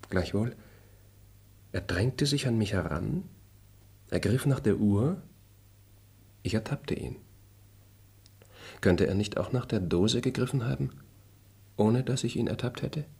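A measured, courteous gentleman. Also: sits low, slightly rough, heavily acted. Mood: pondering. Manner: measured, polite, wryly questioning. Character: composed, courteous traveling gentleman, suspicious and probing. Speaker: actor in a radio play. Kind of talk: classic radio drama acting.

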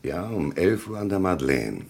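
A man acting a probing, deliberate police investigator in a German radio crime drama, with a gravelly, husky voice and pointed questions. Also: low voice, big pitch swings, darkish tone, heavily acted. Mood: pointed, reasoning. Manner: questioning, hesitant pauses, then pointed.

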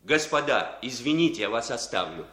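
Slick scheming foreign guy, voice goes all over, sly and smooth, old radio play. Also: mid-range voice, big pitch swings, normal speed, bright, forceful tone, a little hiss or hum. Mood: insinuating. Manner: smooth, insinuating. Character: scheming foreign man setting up a deception. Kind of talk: 1960s radio drama acting.

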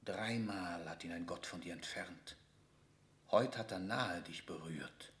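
A man's voice: deep voice, measured pace, a bit bright. A cold, shrewd statesman, roomy sound. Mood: insistent. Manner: pointed, emphatic rhetoric. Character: shrewd, suspicious court statesman, cold and insistent. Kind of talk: classical German stage-verse radio drama.

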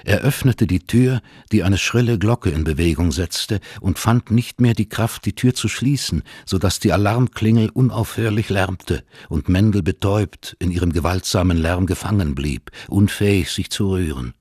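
Male narrator, literary radio drama, low-pitched, rough-edged, dry studio sound, scripted delivery. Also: normal speed, hardly any pauses, darkish tone, no room sound. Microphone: close studio microphone.

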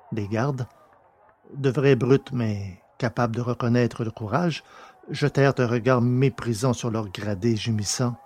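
A man with a slightly husky voice reading scripted fiction as an audiobook narrator. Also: mid-range voice, a little mellow.

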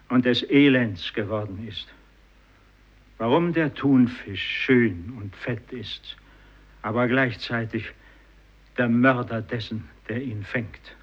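A solemn, accusing fisherman in a vintage German radio play. He speaks very slowly in a husky voice, with deliberate repetition. The recording is dull and aged. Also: medium pitch. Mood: grim, despairing. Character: grave, accusing Japanese fisherman questioning God. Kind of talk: 1950s German radio drama acting.

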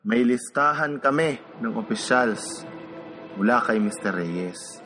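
An actor plays a stern male officer in a radio drama. He lectures with threatening authority in a mid-pitched voice, with music faintly underneath. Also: animated, takes it slowly, sharp tone. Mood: firm, condescending. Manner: authoritative, admonishing.

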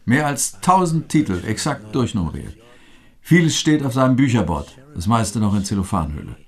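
An actor playing a terse, puzzled bereaved relative in a radio play, speaking matter-of-factly. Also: male voice, lowish voice, sing-song, normal speed. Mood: puzzled, guarded.

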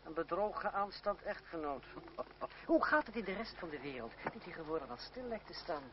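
Sardonic young playwright, highish voice, self-controlled, articulate, old radio drama, muffled sound. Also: male voice, big pitch swings, moderate pace, noisy background. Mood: wry, teasing. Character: sardonic young actor-playwright, proud, jealous fiancé in old France. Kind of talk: theatrical radio drama acting. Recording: dull old radio play recording, crowd behind.

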